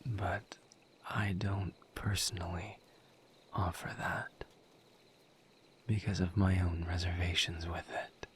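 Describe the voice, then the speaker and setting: A man voicing a gentle, patient misery demon in a breathy, intimate whisper, halting and solemn, for an audio roleplay. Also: sits low, flat delivery, slight background noise. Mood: reserved, candid. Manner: slow, deliberate, halting with long pauses.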